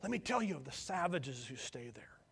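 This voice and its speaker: A man's voice: a little high, big pitch swings, moderate pace, gravelly, a bit bright, slightly rough, in a large hall, full character voice. A man voicing a smug, fault-finding young man who eagerly accuses his brother. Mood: smug accusing.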